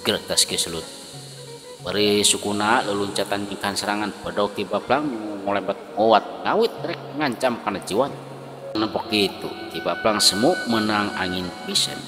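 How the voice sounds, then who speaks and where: A man's voice: lowish voice, normal speed. A man with a Sundanese accent telling a folk tale dramatically, in a colloquial oral storytelling manner. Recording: long reverb, loud music behind. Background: music.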